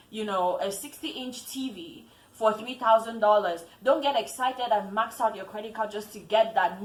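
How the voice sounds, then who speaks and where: Woman vlogger from Cameroon, mid pitch, earnest advice, roomy echo. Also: a bit bright. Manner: earnest, repeats phrases for emphasis. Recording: echoey room with faint ambience.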